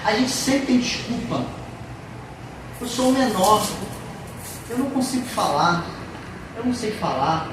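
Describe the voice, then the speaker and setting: A woman's voice: mid-range voice, expressive, measured pace, long pauses. A woman preaching a sermon in a church, emphatic and repetitive. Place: church hall. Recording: echoey church hall from far off, noisy.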